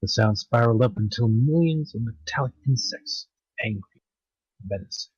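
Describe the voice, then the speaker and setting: A man giving an amateur dramatic story narration, halting in places and stumbling over words. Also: medium pitch, husky, no room sound, lo-fi sound. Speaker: narrator of online story readings.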